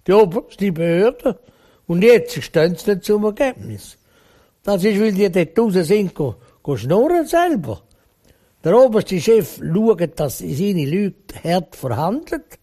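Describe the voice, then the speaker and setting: A man's voice: high voice, dark tone, slightly rough. A man with a Swiss German accent, talking bluntly and emphatically in a rambling interview answer. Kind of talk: opinionated conversational interview.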